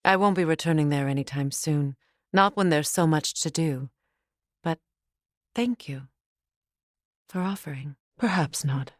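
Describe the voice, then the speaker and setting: A woman playing a proud, guarded warrior goddess in a video game, speaking in a measured, commanding, solemn manner. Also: deep voice, dry recording, studio quality. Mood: sincere, subdued. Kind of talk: dramatic video game acting.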